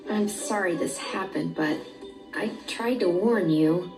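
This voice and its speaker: An actress voicing an earnest young rabbit sorceress apprentice who turns defiant. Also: female voice, on the low side, poor audio. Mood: apologetic. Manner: earnest, quick to snap. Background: game music.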